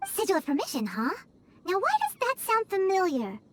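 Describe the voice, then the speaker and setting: A woman voicing a tiny, chirpy, childlike fairy companion with a squeaky voice in a video game. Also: very animated, brisk. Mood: teasing, excited. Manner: perky, speaks of self in third person. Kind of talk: video game character acting. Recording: loud background music.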